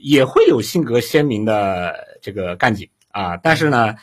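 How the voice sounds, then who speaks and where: A man in a conversational discussion, explaining his view haltingly with repeated phrases. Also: lowish voice, expressive, husky.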